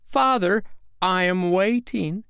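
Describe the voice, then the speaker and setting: A man's voice: sits high, expressive, drawn-out words, bright, forceful tone, smooth, no echo, clean studio sound, voice pushed up, exaggerated voice. A man reading a storybook as a stubborn, suspicious little boy with a cool, insistent manner. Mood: cold.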